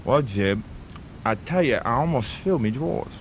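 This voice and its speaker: A man's voice: mid-range voice, expressive, a little hiss or hum, natural voice. A man with a put-on Australian accent playing a stammering bushman who tells a spooky tall tale in a comic radio drama. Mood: spooky storytelling. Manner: stammering, drawn-out storytelling.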